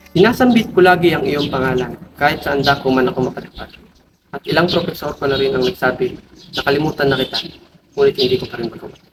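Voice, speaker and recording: A man playing a devoted young gentleman reciting flowery words of love in an amateur period drama. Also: fairly high pitch, lightly acted. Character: devoted young gentleman lover back from Europe. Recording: distant mic under clear music.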